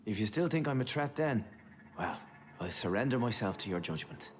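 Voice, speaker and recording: A man's voice: mid-range voice, lively intonation, normal speed, slight background noise, natural voice. Man acting swooping, unctuous undead holy man. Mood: calm, assuring. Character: pious undead male preacher, serene, slyly evasive. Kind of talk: video game voice acting.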